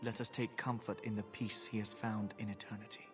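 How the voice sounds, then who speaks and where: An actor voicing a dutiful templar knight, speaking in a solemn, formal, prayerful way. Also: male voice, on the low side, little pitch movement, fairly quick, music in the background, natural voice.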